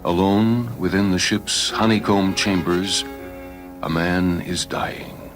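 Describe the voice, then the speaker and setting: Slow, deep-voiced male story narrator. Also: fairly even intonation. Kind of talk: dramatic scripted story narration. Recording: music behind the voice.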